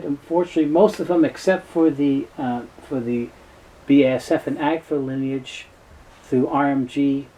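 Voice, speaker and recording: A man with a dark, mellow voice presents an informal product explainer. He talks in a halting way, doubling back on words as he goes. His tone stays soft-edged and relaxed, at an ordinary male pitch. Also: low-quality recording. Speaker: tape dealer and presenter.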